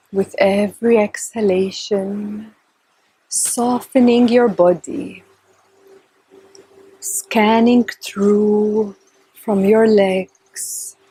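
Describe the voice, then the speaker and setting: A woman leading a guided meditation in a calm, gentle, soft and breathy voice, with long unhurried pauses between short phrases. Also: mid-range voice, dry recording, poor audio. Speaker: meditation teacher. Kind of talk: guided meditation, slow and instructional.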